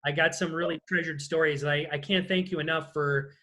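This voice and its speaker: A man hosts a casual one-on-one interview. His voice sits a little high and has a clearly bright, forward edge as he puts informal questions to his guest. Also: fairly even intonation, a little fast. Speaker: interview host. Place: video call.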